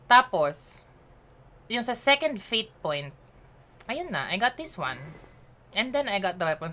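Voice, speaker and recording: A woman with a Filipino accent chatting casually as she streams a game, mixing Tagalog and English and thinking out loud. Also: mid-range voice. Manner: chatty, switching between Tagalog and English mid-sentence; giggly, thinks aloud while playing.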